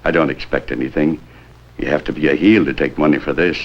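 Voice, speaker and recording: A man's voice: rumbling low, quick pace. A man playing a wheedling, money-minded hotel desk clerk in a 1950s American police drama, hedging and ingratiating as he talks. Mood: defensive, ingratiating. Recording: dull, noisy 1950s film soundtrack.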